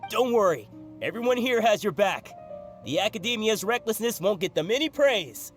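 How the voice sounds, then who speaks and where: An actor playing an upbeat, supportive bazaar local with an encouraging way of talking. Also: male voice, sits high, big pitch swings, moderate pace, faint music behind.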